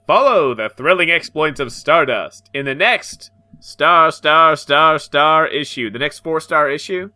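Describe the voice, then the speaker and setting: A man's voice: fairly high pitch, unhurried. A man co-hosting a comedy podcast, narrating an old comic with frequent laughter. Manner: laughs often, animated narrator. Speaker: podcast co-host. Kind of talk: comedic dramatic reading and banter.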